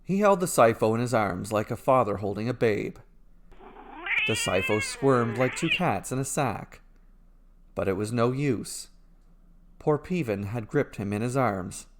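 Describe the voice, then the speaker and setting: A man narrating a scripted fairy tale as a storyteller, in a measured, deliberate way. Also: medium pitch, animated. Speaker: storyteller narrating his own fairy tales.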